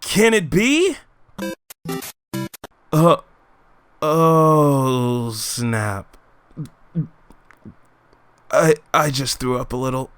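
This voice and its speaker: A young American man co-hosting a scripted countdown with excitable, hammy exasperation. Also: medium pitch, extremely slow, long pauses. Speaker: co-host of a countdown show. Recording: music under the voice.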